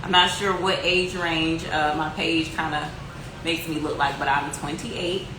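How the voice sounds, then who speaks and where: A woman's voice: deep voice, fairly even intonation, a little slow. A Southern woman in her twenties from North Carolina, chatting casually and upbeat as she answers her viewers' questions. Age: 20s. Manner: chatty, upbeat, talks straight to viewers with lots of fillers. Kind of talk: casual livestream-style Q&A. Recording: echoey room with noticeable background noise.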